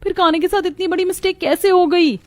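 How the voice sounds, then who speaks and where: A woman's voice: extremely high pitch, fairly even intonation, brisk, darkish tone. A woman voicing a vain, spiteful young modern daughter-in-law in a dramatic Hindi moral story cartoon. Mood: dismayed, apologetic. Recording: music behind the voice.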